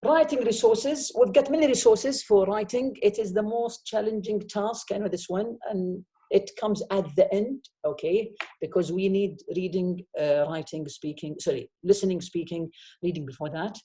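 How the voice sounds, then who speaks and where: Male professor, mellow tone. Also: sits high, moderate pace, low-quality recording. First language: Arabic. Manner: explanatory, frequent "okay?" checks. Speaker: university professor. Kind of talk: online lecture. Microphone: video call.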